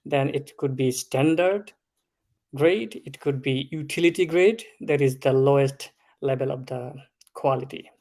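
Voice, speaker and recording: Man in his 30s from Bangladesh, consultant guest, slow careful explainer. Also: medium pitch, darkish tone. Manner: slow, measured, explanatory with filler ums. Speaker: food safety consultant, guest. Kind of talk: interview answers.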